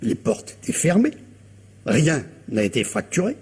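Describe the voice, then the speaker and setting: Husky, shrewd police commissioner, lively intonation. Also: male voice, fairly high pitch, measured pace, heavily acted. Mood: grave, accusing. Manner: probing, insinuating, courteously pressing. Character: shrewd, insistent male police commissioner interrogating a suspect. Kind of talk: French radio crime drama acting.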